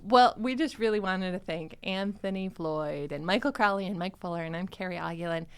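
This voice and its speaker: A woman hosting a podcast in a casual, chatty way, playful and quick to laugh. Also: on the low side, clear, resonant tone. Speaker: podcast host and series creator.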